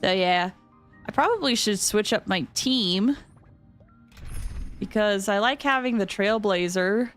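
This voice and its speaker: A woman hosting a game livestream, chatting casually with viewers in an expressive, excitable way and often repeating phrases for emphasis. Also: mid-range voice, a little slow, pauses often, faint music behind. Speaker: game streamer and host.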